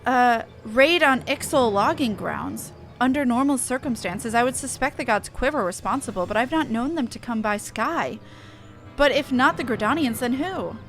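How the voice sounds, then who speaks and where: A woman's voice: on the high side, animated, normal speed, fairly bright tone, soft background music. Woman playing an earnest, wordy airship engineer. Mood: concerned. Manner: wordy, formal old-fashioned phrasing. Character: earnest, chatty airship engineer of a fantasy game. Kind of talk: game dialogue read aloud in character.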